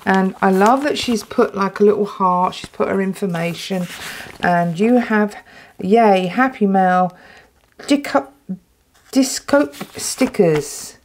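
A woman with an English accent, casually chatting while unboxing a stationery haul at a tabletop, excitable and full of ums and restarts, her throat dry. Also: mid-range voice, slow pace, a little hiss or hum. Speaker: planner vlogger. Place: indoors at a tabletop.

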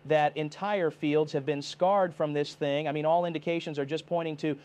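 A man's voice: on the high side, steady tone, normal speed, a little mellow, clean tone, natural voice. A man playing a TV meteorologist in an analog horror drama, giving a live severe weather warning in a grave, insistent manner, addressing viewers directly. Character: urgent male TV meteorologist warning of deadly tornado. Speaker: TV weather forecaster.

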